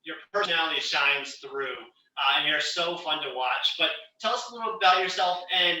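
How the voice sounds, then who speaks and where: A man's voice: on the high side, moderate pace, sharp tone. A man in his thirties moderating an online youth talk from his living room on a headset, upbeat with lots of fillers. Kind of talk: hosting an online interview. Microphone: headset on a video call. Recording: roomy and distant.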